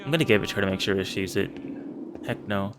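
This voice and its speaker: A man's voice: lowish voice, rapid-fire. A man casually commenting while he plays a narrative game, curious and thinking aloud. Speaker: let's play narrator. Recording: clear background noise.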